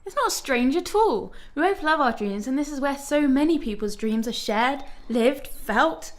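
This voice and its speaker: An actress as a high-voiced, whimsical young woman. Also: expressive, unhurried. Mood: enchanted. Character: quirky young woman, whimsical, playful, dreamy free spirit. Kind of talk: radio drama acting.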